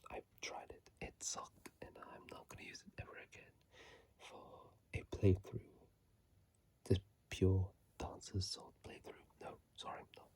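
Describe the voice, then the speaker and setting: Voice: low-pitched, completely flat delivery, a little slow. An ASMR host whispering casual, rambling game commentary into a phone microphone, often correcting themselves mid-sentence. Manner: soft unvoiced whisper, rambling, with self-corrections. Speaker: ASMR gaming channel host.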